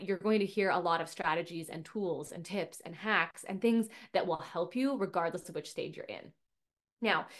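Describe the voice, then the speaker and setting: A woman hosting her own podcast, chatty and upbeat with many fillers and restarts, a little nasal from a cold. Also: on the low side. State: getting over a cold, a bit nasal. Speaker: podcast host and business coach for piano teachers. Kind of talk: casual solo podcast talk.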